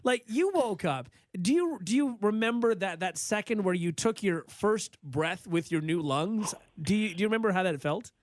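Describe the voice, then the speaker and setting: A man's voice: high-pitched, expressive, normal speed, no echo, clean studio sound. A man co-hosting a morning radio show, joking in a self-deprecating way. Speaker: radio co-host. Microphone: studio mic.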